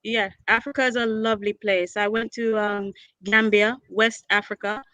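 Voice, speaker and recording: A woman with a Jamaican accent talks in a bright, lively, repetitive way. Her mic is a close home one on a video call. The sound is bone-dry with almost no room in it. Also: medium pitch, moderate pace, no room sound. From born in New York, raised partly in Jamaica. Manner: lively, repeats phrases, mixes in patois. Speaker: recording artist guest. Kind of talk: casual online chat.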